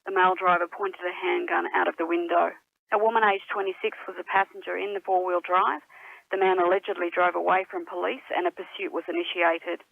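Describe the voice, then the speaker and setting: Over a thin, tinny phone line, a woman gives a formal police statement. Her voice has a clear creak to it. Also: fairly low pitch, flat delivery, brisk, no room sound. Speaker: police spokesperson. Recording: narrow, tinny telephone line.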